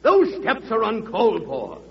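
An actor playing a fiery, accusing senator, declaiming grandly in an old-time radio drama. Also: male voice, high-pitched, wildly swooping pitch, unhurried, creaky, a little hiss or hum, full character voice. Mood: alarmed, defiant. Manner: declamatory, rhetorical. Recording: dull radio recording, long hall reverb.

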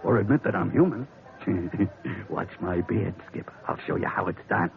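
A brash, wisecracking sailor in an old radio drama, his gravelly voice rising and falling a lot. The broadcast sound is thin and tinny, with music underneath. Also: low voice, animated, normal speed. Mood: joking. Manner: wisecracking, laughs often. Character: brash red-bearded sailor man, joking. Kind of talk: 1940s radio acting.

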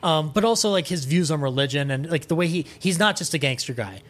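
Guy w a kinda high voice on a podcast, really into his opinions, keeps restarting sentences, emphatic. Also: animated. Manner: emphatic, enthusiastic, halting restarts mid-thought. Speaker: podcast guest. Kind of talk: casual opinionated chat about music and film.

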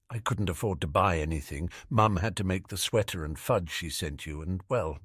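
An insecure, awkward wizard schoolboy , played by a male narrator. He is hesitant and plain-spoken, with a lowish voice, in a storybook tale over music. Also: a bit bright, breathy edge, full character voice.

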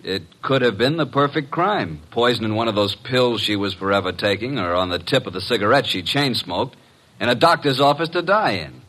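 A man's voice: medium pitch, animated, normal speed, a bit bright. A man playing a cocky, slangy detective's assistant in an old-time radio drama, breezy and wry. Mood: accusing. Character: wisecracking tough detective's legman, cocky and loyal.

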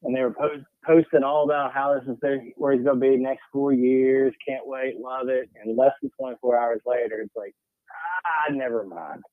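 A man's voice: medium pitch, steady tone, normal speed, no room sound. A man giving matter-of-fact recruiting analysis in casual sports chat. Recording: tinny phone-like line.

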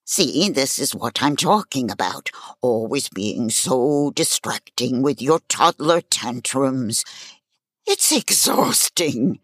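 A woman playing a condescending old storyteller in a comedic audio drama, dry, deliberate and snide. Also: very deep voice, big pitch swings, husky, dry recording, full character voice. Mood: weary condescension. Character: condescending old female storyteller, long-suffering and superior.

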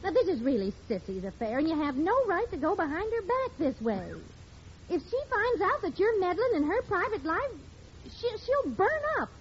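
An exasperated, sensible wife in a vintage radio comedy. Her high voice moves animatedly as she chides, on a muffled, faintly noisy recording. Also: very animated, normal speed. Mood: exasperated, disapproving. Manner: chiding, incredulous. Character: exasperated, sensible, scolding housewife. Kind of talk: 1940s radio comedy acting.

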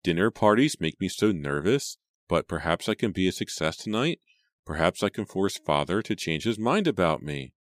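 A man's voice: low voice, very animated, moderate pace, no room sound, pitched above the performer's own voice. Storybook role: man as sensitive young daughter, plaintive and unsure of herself, dry recording. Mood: anxious, hopeful. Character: sensitive, insecure young woman, elder daughter.

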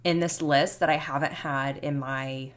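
A woman's voice: deep voice, steady tone, measured pace. A woman in her mid twenties hosting a solo podcast in a chatty, rambling, casual way. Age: 20s. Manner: chatty, rambling, youthful filler-heavy talk. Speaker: podcast host.

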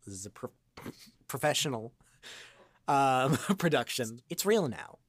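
A man's voice: mid-range voice, big pitch swings, measured pace, no room sound. A man chatting casually as an interview guest, rambling and informal with a goofy streak. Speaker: voice actor and improv comedian, podcast guest.

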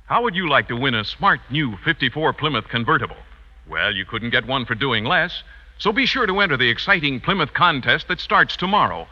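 A man's voice: mid-range voice, big pitch swings, brisk. A man reading a punchy 1950s radio commercial as an announcer. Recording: dull vintage radio recording.

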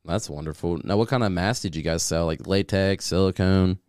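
A man co-hosting a podcast in casual, excitable chat, his voice throaty and deepened by something in his throat, cursing freely. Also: fairly quick, clear, resonant tone, no echo, clean studio sound. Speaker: podcast co-host. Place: studio room beside co-host.

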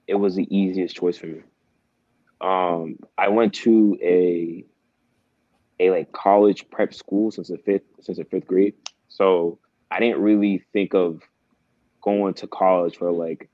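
A man's voice: deep voice, warm tone, dry recording. A young man telling his story in a laid-back, slangy way as a podcast guest. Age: young adult. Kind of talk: casual conversation. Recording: dull, dry lo-fi sound.

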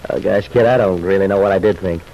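An actor playing an awkward, mild-mannered young ex-husband in an old-time radio soap opera, hesitant and plain-spoken. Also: male voice, sits low, quick pace, creaky, lo-fi sound. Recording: faintly noisy vintage radio recording.

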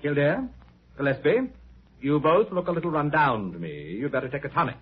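A tinny vintage radio recording of a fussy, self-satisfied doctor. He blusters pompously in a rough voice that swoops up and down. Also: a little high, big pitch swings, fairly quick, full character voice. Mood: smug, pompous. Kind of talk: 1940s radio drama acting.

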